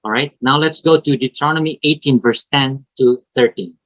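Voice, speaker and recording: A man with an Indonesian accent, a native Indonesian speaker, teaching a Bible lesson to an online fellowship in a halting way, with restarts and frequent "right?". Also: medium pitch, a bit bright, poor audio. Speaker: preacher.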